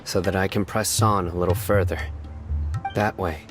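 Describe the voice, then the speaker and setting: An actor voicing a stern, reserved young lawman in a video game, speaking in a solemn, measured way. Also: low voice, steady tone, natural voice. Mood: earnest, reflective. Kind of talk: scripted video game character dialogue. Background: music.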